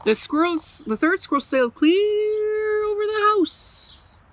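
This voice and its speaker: A woman reading a picture book aloud to children as a museum storyteller, animated and chatty, with little asides. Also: very high voice, slow.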